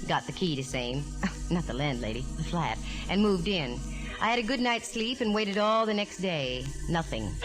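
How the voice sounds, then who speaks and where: Actress doing plucky american girl detective, old 40s radio show, wry slangy patter, middle pitch, some music behind. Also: natural voice, low-quality recording. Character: wisecracking, plucky young female private eye. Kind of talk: 1940s radio detective acting and narration. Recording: vintage 1940s radio recording, music behind.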